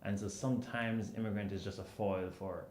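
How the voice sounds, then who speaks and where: Low-voiced man on an echoey panel. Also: fairly quick, a little hiss or hum. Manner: animated storyteller, acts out quotes. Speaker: panelist writer. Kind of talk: panel discussion. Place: event hall with audience. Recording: echoey room.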